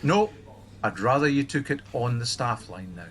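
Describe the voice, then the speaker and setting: A reserved male counsellor as an actor plays him in a radio play. He is laconic and drily witty, with a mid-pitched voice. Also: lively intonation, moderate pace, lightly acted. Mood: businesslike. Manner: laconic, wry. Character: reserved, dry-witted male helpline counsellor.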